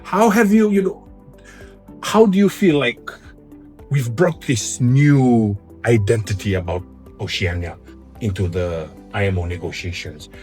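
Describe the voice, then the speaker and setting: A man hosting a casual interview, talking in an animated way with many fillers. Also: on the low side, measured pace, faint music behind.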